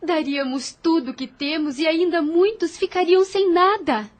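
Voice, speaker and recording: A worried young sister with a high, bright voice who questions her brother anxiously and clings to her faith, as a woman acts her out. She speaks in an audio drama. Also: normal speed.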